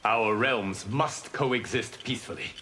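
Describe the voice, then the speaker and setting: An actor playing a calm, solemn, merciful guardian god in a video game, speaking in a measured, formal, earnest way. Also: male voice, medium pitch, a little hiss or hum.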